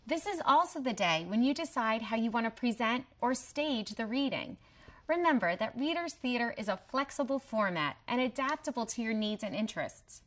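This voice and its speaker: Lady with a pretty high voice reading a promo script as the host. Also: lively intonation, normal speed, fairly bright tone. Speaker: host presenter.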